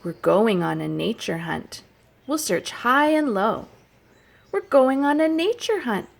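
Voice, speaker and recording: A woman childcare educator telling stories and playing with toddlers in a sing-song, animated, child-directed way, using simple phrases. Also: a little high, a little slow, vocal fry. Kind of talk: sing-song picture book storytelling and play for toddlers.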